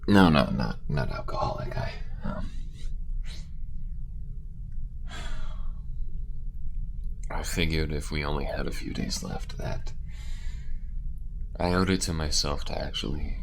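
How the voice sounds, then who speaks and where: Low male voice, man acting a loyal grieving space smuggler, halting earnest delivery, sci-fi audio drama, noisy ambient background. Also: creaky, full character voice. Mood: subdued, hesitant.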